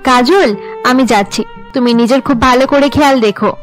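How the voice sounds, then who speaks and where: Young wife, acted by a woman, cartoon family drama, high-pitched, sorrowful and anxious. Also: normal speed, faint music behind, lightly acted. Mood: apologetic.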